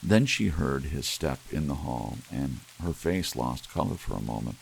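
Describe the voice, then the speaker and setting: A man narrating an audiobook story in a soft ASMR bedtime style. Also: a bass voice, moderate pace, gravelly, poor audio. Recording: audible hiss under steady rain sounds. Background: soft rain.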